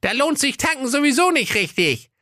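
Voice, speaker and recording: A man's voice: extremely high pitch, big pitch swings, moderate pace, pushed and bright, no echo, clean studio sound, voice pushed up, heavily acted. A man voicing a grumpy, sly evil little male dwarf in a comic fairy tale, grumbling and scheming.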